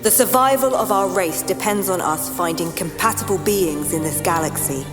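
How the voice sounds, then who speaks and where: A woman's voice: fairly low pitch, normal speed, lightly acted. A woman playing a guarded, solemn alien shapeshifter, a war survivor, in an audio drama. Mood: grave, guarded. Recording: roomy sound under loud trailer music.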